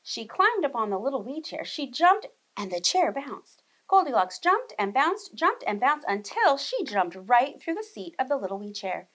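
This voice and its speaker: A woman reading a storybook aloud to young children expressively, pausing to ask and explain things. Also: high-pitched, sing-song, fairly bright tone, rough recording. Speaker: children's storyteller, preschool teacher. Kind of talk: animated storybook read-aloud.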